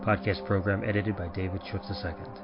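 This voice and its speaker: A man, the podcast's host and narrator, reading production credits in a measured, even announcer style. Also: low-pitched. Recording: dull lo-fi with music behind.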